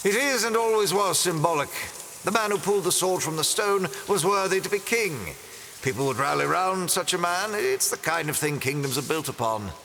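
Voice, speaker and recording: A man's voice: high voice, expressive, moderate pace, creaky, sharp tone, a little hiss or hum, heavily acted, rough recording. A man playing a smug, theatrical villain in an audio drama, pompous and gloating with mock courtesy. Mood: commanding, smug. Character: smug, theatrical male villain, wealthy treasure collector.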